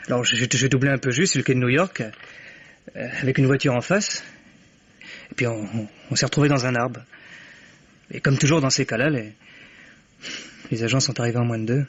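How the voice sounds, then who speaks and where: An actor playing a naive, cocky teenage joyrider in a French radio mystery, stammering and hesitating earnestly. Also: male voice, mid-range voice, fairly quick, long pauses, breathy edge, natural voice. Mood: sheepish. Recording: muffled vintage radio recording.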